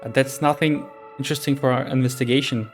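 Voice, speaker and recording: A man's voice: mid-range voice, fairly even intonation, quick pace. A man giving casual reaction commentary on a game, curious and thinking aloud. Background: faint music.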